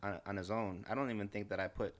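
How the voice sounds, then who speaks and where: A man's voice: fairly low pitch, steady tone, fast. An American man co-hosting a podcast, bantering casually with dry, teasing jokes and a hesitant delivery. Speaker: podcast co-host.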